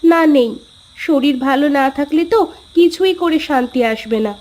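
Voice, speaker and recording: A woman's voice: sits high, animated, normal speed, darkish tone. A woman voicing a pampered, touchy, complaining city wife in a dramatised moral story.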